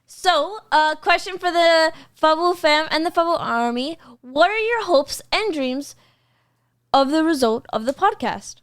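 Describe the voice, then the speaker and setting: A young woman with a notably high voice co-hosts a family podcast from her gaming room. She talks in a loose, animated way and jokes boastfully. Her voice is close to a studio mic. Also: a little slow, no room sound. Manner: playful, boastful joking, animated. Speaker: podcast co-host. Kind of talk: casual family podcast chat. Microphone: studio mic on boom, headset.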